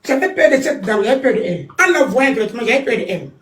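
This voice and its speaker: A woman's voice: fairly high pitch, expressive, racing, echoey room. An older woman, the guest's mother, speaking emphatically and repeating herself, laughing now and then. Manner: emphatic, repetitive, laughing through relief. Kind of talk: emotional call-in remarks.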